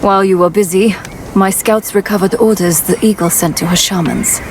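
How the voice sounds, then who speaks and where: A woman acts out a shrewd, tough leader of raiders in a dramatic game. She speaks directly and with command at a medium pitch. Noticeable ambient noise sits behind the voice. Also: fairly even intonation, normal speed, natural voice. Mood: wary, probing. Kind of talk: dramatic video game cutscene acting. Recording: clear outdoor ambient noise.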